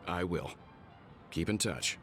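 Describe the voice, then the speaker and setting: A man playing a grave, courteous older crew member in a video game, speaking formally and guardedly in measured phrases. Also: fairly bright tone, soft background music, heavily acted. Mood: calm. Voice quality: deep, gravelly. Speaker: voice actor in a story quest. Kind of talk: scripted game dialogue.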